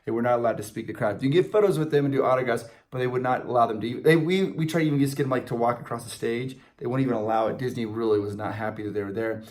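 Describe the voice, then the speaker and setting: Middle-aged man in casual, animated chat. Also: medium pitch, very fast, few pauses. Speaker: co-host of a theme park fan vlog. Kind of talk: casual chat, answering fan questions. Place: indoors, small room in front of a backdrop.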